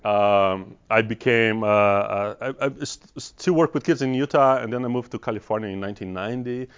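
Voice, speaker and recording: Brazilian-accented man, halting low voice. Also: clean tone. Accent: Brazilian Portuguese. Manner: halting, many restarts and repeated words. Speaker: regional director of mental health programs. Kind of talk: presentation to an audience. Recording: dull lo-fi sound.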